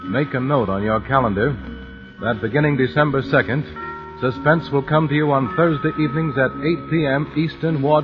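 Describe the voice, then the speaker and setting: A man delivering a formal network scheduling announcement on 1940s radio. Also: mid-range voice. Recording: dull 1940s radio with music.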